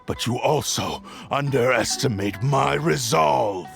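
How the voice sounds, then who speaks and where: An actor playing the proud, bitter, obsessed spirit of a cursed blade in a video game, with haughty, theatrical, formal diction. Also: male voice, medium pitch, slow pace, vocal fry, soft background music. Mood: cold, resentful. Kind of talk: dramatic video game voice acting.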